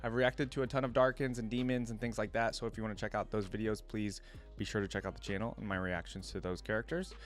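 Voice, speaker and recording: A man's voice: on the low side, level tone, fast, soft background music. A man hosting a reaction video with casual, informal and enthusiastic commentary.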